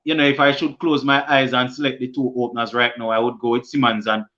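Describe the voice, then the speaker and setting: A Jamaican man hosting a casual cricket live stream, reading viewer comments aloud and answering them, often halting and restarting mid-sentence. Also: medium pitch, level tone, pushed and bright, highs cut off. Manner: halting, many restarts and fillers.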